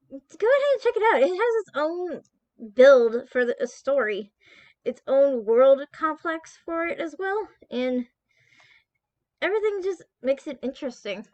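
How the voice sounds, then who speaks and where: A woman's voice: high-pitched, darkish tone, no room sound, dull audio. A woman from Texas hosting her channel, chatting casually in a rambling way, with frequent swearing and restarts. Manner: rambling, profane, self-interrupting. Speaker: channel host. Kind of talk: casual chat.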